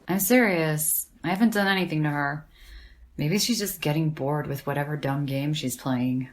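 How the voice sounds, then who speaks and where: An actress playing a blunt, sardonic woman academic in an audio drama, with a dry, deadpan, sweary delivery. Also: low-pitched, normal speed, natural voice. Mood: defensive, irritated.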